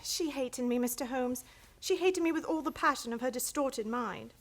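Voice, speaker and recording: A woman playing a quietly confident young woman held prisoner, speaking earnestly and dramatically in a radio play. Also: high voice, moderate pace. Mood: earnest, wounded.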